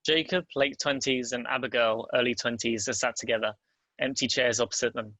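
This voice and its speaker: A man's voice: mid-range voice, steady tone, brisk, pushed and bright, no room sound. A man reading out stage directions as the narrator of a script table read.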